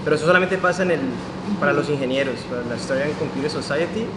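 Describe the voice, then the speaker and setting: A man, an interactive design graduate, giving halting interview answers that correct themselves as he goes. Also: a little high. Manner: halting, self-correcting explanation. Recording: loud background noise.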